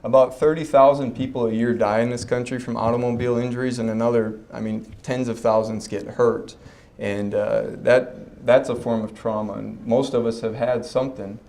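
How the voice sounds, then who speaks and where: A man's voice: mid-range voice, flat delivery, moderate pace, vocal fry, darkish tone. A man, a chiropractor giving a folksy, joking talk to an expo audience. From Fargo, North Dakota. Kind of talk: public talk at a health expo. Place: expo breakout session room before a live audience.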